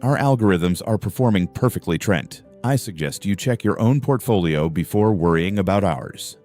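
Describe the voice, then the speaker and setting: Courteous, cool-headed CEO played by a man, low, controlled and softly firm, audio drama. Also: lively intonation, moderate pace. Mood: coolly confident. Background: background music.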